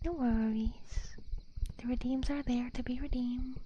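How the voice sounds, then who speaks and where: A woman streamer chatting softly and casually to viewers in a breathy, whispery ASMR style, gentle and playful, close to the microphone. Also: on the high side, fairly even intonation, measured pace, dull audio, slight background noise. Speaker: livestream host. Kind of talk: soft-spoken ASMR, casual chat with viewers. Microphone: close binaural ASMR microphone.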